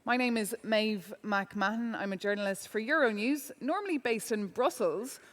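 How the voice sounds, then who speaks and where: Irish woman moderating in a hall. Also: mid-range voice. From Ireland. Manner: upbeat, welcoming, brisk instructions. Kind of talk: conference moderating. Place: large conference hall. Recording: reverberant conference hall.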